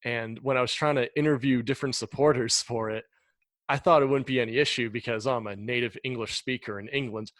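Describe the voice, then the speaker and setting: A young American man hosts a podcast in an easy, chatty way, with enthusiasm in his voice. His pitch sits in the middle, and the recording is dry, with no room echo. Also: fairly even intonation, fairly quick, a bit bright. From United States. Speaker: podcast host. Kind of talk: casual interview chat.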